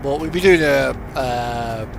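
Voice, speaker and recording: A man's voice: medium pitch, slow pace, vocal fry. A British man rambling casually and a little hesitantly as he plays a driving game, chatting in loose asides. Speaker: gaming streamer. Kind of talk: casual rambling chat while playing a truck driving simulator. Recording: music playing behind the voice. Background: music, vehicle engine sounds.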